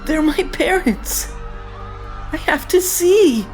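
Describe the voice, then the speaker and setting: A woman's voice: high-pitched, expressive, takes it slowly, soft background music. A woman playing a brave, distraught twelve-year-old acrobat boy in an audio drama. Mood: grief-stricken.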